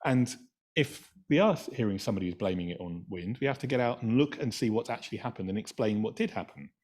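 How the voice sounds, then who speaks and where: A middle-aged man with a Scottish accent gives an evening engineering talk from his slides. His voice sits a little low, and he talks in a chatty, loose way, full of ums and restarted phrases. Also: expressive, fast talker, darkish tone. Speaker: invited speaker, engineering professor.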